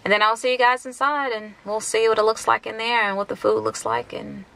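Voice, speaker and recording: A woman's voice: fairly high pitch, fairly even intonation, fairly quick, vocal fry, fairly bright tone, rough recording. A woman in her thirties with an American accent, chatting casually and informally to the camera as a vlogger. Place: parked car and rooms at home. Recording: some background noise.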